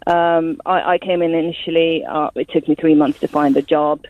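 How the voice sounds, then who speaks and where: A woman in her twenties from Estonia, calling a radio phone-in and giving her view haltingly over a phone line. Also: low-pitched, flat delivery, no room sound. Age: 20s. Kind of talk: conversational live opinion. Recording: tinny narrow phone line.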